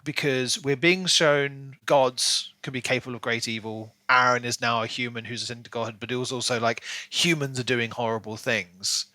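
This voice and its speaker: Male book podcaster, bright tone, dry recording, casual and animated, musing through open questions. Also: mid-range voice, moderate pace, hardly any pauses, dry recording.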